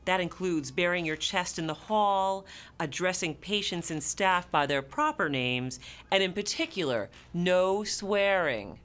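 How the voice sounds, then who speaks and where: A woman's voice: lowish voice, expressive, a little slow, a bit bright. A woman acting in a radio play as an officious, rule-bound head nurse, formal and authoritative. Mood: stern, disapproving.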